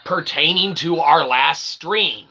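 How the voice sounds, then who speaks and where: A man hosting a rambling livestream, speaking in a wordy, mock-grandiose, self-deprecating way with a gravelly voice. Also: on the high side, takes it slowly. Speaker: livestream host. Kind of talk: rambling livestream monologue to chat. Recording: noticeable background noise.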